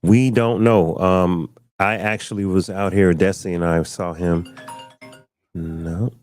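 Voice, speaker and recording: Laid-back low-voiced male podcast host. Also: fairly even intonation, measured pace, a little mellow, soft background music. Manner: laid-back, laughs often, repeats himself. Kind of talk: casual podcast chat.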